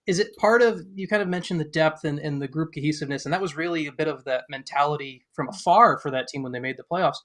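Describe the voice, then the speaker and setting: Male podcast co-host. Pitch a bit above average, casual conversational sports talk. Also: quick pace, few pauses, fairly bright tone.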